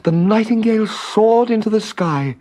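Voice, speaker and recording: A man's voice: sits high, animated, moderate pace, warm tone. A man reading a scripted children's story as narrator, with music behind him.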